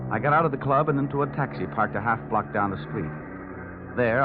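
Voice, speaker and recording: A man's voice: on the low side, lightly acted. A man playing a tough, wisecracking insurance investigator, narrating and talking in a punchy, sardonic old-time radio detective style. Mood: wry narration. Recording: dull old radio recording, loud music.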